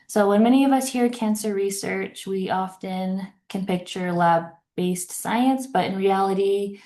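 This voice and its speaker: A woman's voice: medium pitch, unhurried, dark tone. A woman moderating a webinar over a video call, reading the introduction with hesitant fillers.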